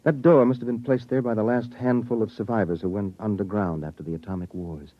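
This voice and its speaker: An actor playing a resolute, protective young rebel in a 1950s radio drama, speaking with earnest dramatic delivery. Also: male voice, fairly low pitch, steady tone, fairly quick, close to an everyday voice. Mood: soothing. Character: resolute, protective young male rebel fleeing to Earth's surface. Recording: muffled 1950s radio recording.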